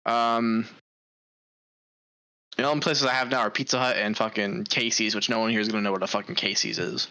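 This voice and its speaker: A man's voice: on the low side, fairly even intonation, brisk, long pauses, sharp tone, low-quality recording. A man co-hosting a podcast, chatting casually, blunt and swearing freely. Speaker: podcast co-host. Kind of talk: casual chat.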